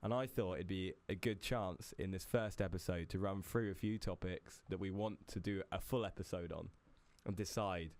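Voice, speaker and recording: A man's voice: low-pitched, moderate pace, hardly any pauses, a little mellow. A young British man co-hosting a casual podcast, chatty and easygoing, often laughing. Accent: British English. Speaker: podcast co-host. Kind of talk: casual chat.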